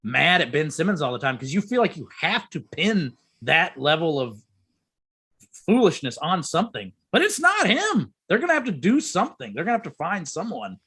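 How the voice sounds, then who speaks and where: Bright sharp guy on a sports podcast, wry, teasing, fairly high voice. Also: wildly swooping pitch, moderate pace, husky. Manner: wry and teasing, laughs often. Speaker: podcast co-host. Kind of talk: casual sports chat.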